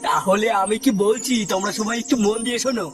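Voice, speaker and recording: A man acts out a solemn village mystic who banishes ghosts in a comedy cartoon. His high voice moves briskly and commands with authority. It comes from a little far off, under loud music. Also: close to an everyday voice. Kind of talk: dramatic comic cartoon dialogue.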